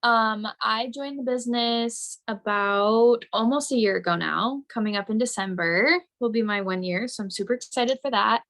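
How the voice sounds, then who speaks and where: A woman's voice: on the high side, normal speed, few pauses, fairly bright tone. A woman in her twenties from Michigan sharing her story on a video call, upbeat and full of likes. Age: 20s. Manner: upbeat, filler-heavy. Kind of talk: personal testimony.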